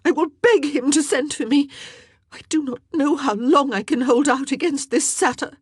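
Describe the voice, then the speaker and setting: A woman voicing a high, distraught young queen. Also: unhurried, mellow, slightly rough, dry recording, clean studio sound. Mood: furious. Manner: pleading and indignant, cries out.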